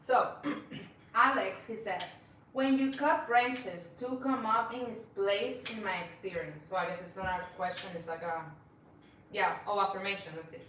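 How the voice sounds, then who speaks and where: A woman's voice: medium pitch, steady tone, a little slow, a bit bright, a little hiss or hum. A woman co-hosting a live stream, reading out viewer comments in a friendly, hesitant way with many ums. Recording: echoey room, far from mic.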